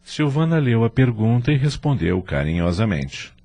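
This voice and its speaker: A man's voice: mid-range voice, big pitch swings, normal speed. A man reading dramatic scripted narration as the narrator of an audio drama. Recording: loud music under the voice. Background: loud music.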